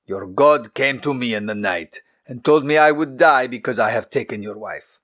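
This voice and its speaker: A man voicing an angry ancient king who speaks in a forceful, accusing way. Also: mid-range voice, moderate pace, close to an everyday voice.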